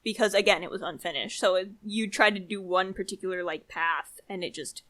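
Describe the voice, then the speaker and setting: A woman's voice: medium pitch, brisk, a bit bright. A woman co-host chatting casually and informally, with a giggly manner. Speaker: podcast co-host. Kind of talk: casual chat.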